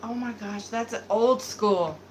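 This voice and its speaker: A woman's voice: medium pitch, takes it slowly, darkish tone, slight background noise. Chatty woman with a light Southern accent. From American South. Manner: chatty, rambling, lots of "like". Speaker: beauty vlogger hosting a live stream. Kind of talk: casual live-stream chat reading comments.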